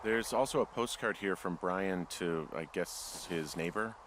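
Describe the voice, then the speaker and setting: A deep-voiced, easygoing male fire lookout in a naturalistic game drama. He talks casually, with dry, self-mocking wit. There is faint ambient noise behind the voice. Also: a little slow. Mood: reflective, wry. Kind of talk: naturalistic video game dialogue.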